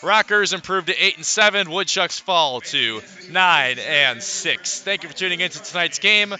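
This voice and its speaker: A man giving live radio play-by-play of a baseball game, calling it in measured, deliberate phrases with pauses. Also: a little high, lively intonation, bright, forceful tone. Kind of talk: live radio sports commentary.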